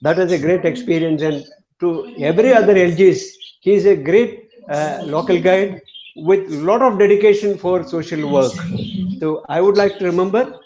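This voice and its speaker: Mellow-voiced man praising a friend. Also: sits high, normal speed, lo-fi sound. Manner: warm praise, says "you know" often. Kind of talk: online meetup chat.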